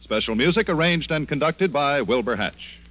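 A man's voice: fairly high pitch, lively intonation, moderate pace. A man reading scripted announcements in 1940s radio announcer style. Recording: muffled old radio broadcast.

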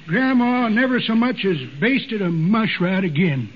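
A man's voice: sits high, expressive, measured pace. A man playing a folksy old male tall-tale teller in a 1940s radio comedy, drawling and chuckling, on a dull old radio recording. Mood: folksy yarning. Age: older.

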